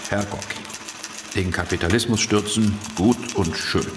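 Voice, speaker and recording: An actor playing a self-important, scheming would-be publisher in a radio play, dictating pompously with muttered asides and self-corrections. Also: male voice, fairly low pitch, unhurried, natural voice. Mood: salesmanlike. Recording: roomy, noisy radio drama scene.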